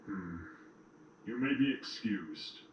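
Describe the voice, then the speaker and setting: A man acts out an authoritative changeling general in an audio drama. He speaks curtly in a lowish voice. It sounds echoey and a bit distant. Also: animated, takes it slowly, muffled recording, a little hiss or hum. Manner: curt, authoritative. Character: stern, commanding male changeling general. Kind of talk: fan audio drama acting.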